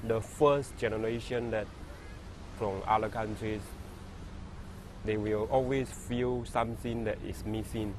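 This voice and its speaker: Man from china, chinese native, low voice, halting english, street traffic behind. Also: fairly even intonation. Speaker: interviewee, permanent resident. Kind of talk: interview answer.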